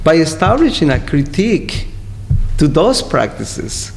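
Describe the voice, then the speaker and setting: Gravelly man in an echoey hall. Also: a little high, very animated. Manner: halting, repeats words, searching. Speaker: interviewed guest, poet. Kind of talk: interview talk and poem reading. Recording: echoey hall, faint background noise.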